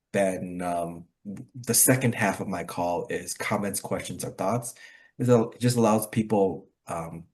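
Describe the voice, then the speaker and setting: A man's voice: low-pitched, a little mellow. A man hosting a casual online English practice call, explaining things in a rambling, hedging way. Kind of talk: casual group discussion.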